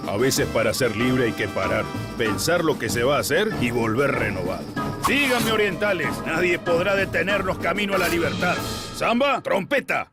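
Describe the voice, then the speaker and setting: Cartoon hero: resolute revolutionary commander, fairly high male voice, lively declamatory delivery. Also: moderate pace, loud music behind the voice. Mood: rousing. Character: proud, resolute revolutionary gaucho army leader. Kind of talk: animated children's history cartoon.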